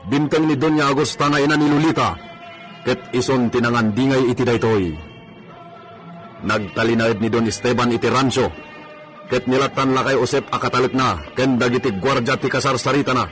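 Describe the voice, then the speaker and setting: A man's voice: medium pitch, a little fast, a bit bright, soft background music. A man with an Ilocano accent narrating and announcing a scripted radio drama serial.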